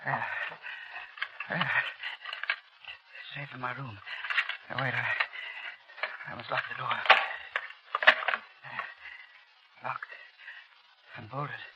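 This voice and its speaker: An actor playing a guilt-ridden army doctor near breakdown, pleading and stammering in a 1930s radio drama. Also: male voice, mid-range voice, fairly even intonation, drawn-out words, long pauses, highs cut off, heavy background noise. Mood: panicked muttering. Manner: pleading, overwrought, breathless stammering. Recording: vintage 1930s radio recording.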